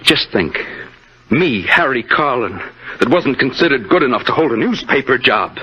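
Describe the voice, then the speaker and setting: A man's voice: medium pitch, moderate pace, lightly acted. An actor playing a boastful, menacing killer on the run in an old-time radio suspense drama, gloating and needling as he talks. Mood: gloating, excited. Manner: gloating, stammering excitedly, needling. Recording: muffled, noisy old radio drama recording.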